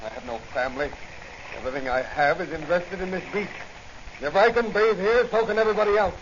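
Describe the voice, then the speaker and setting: An actor playing a stubborn, desperate beach owner in blunt, dramatic 1940s radio style. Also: male voice, high voice, fairly quick, natural voice. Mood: desperate, defiant. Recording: dull, noisy 1940s radio recording.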